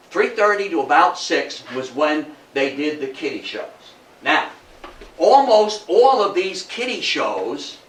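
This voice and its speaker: An older man giving an informal, animated lecture to an audience, repeating phrases for emphasis and often checking in with an okay. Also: on the high side. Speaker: lecturer at a historical society talk. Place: indoor talk room with audience. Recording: echoey room, distant mic, background noise.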